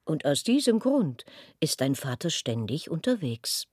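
A woman's voice: deep voice, big pitch swings, measured pace, a little mellow, breathy edge, no echo, heavily acted. Domineering royal mother, swooping voice. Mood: solemn, urging. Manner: theatrical, commanding. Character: domineering, ambitious mother of a young prince. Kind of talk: radio drama acting.

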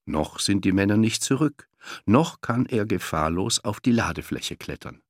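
A man narrating a children's audiobook in a lively storytelling style. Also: fairly low pitch, normal speed, no room sound, clean studio sound.

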